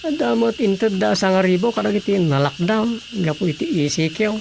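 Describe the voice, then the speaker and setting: A man's voice: high voice, a little fast, creaky, darkish tone, soft background music. A man playing a gossiping, grumbling villager in a radio drama. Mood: complaining. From Philippines. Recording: hissy noisy radio recording.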